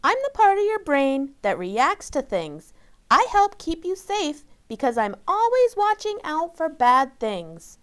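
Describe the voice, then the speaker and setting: A woman voicing a bossy, excitable little girl in a children's storybook, high, loud and indignant. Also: measured pace, exaggerated voice. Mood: boastful. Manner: dramatic, indignant.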